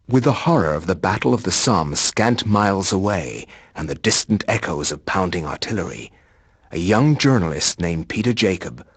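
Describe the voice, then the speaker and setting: A deep, husky storyteller narrating a horror game with solemn, scholarly gravity. He is measured and sounds close and dry. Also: no echo, full character voice. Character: grave, learned male narrator recounting horrors.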